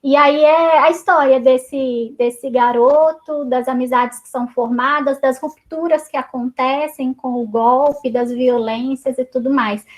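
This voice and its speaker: A woman's voice: high-pitched, steady tone, a little slow, few pauses, low-quality recording. A woman with a Brazilian Portuguese accent, a teacher leading an online reading circle, chatty, with many fillers and restarts. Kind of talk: online class discussion. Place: home, video call. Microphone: computer mic on a video call.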